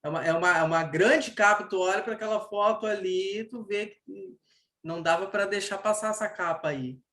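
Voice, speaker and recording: A Brazilian man hosts a relaxed, informal interview with plenty of slang. His voice is bright and sharp-edged. The room around him rings with noticeable echo. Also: high voice, fairly quick. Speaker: podcast host, interviewer. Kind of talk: casual interview chat. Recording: echoey room.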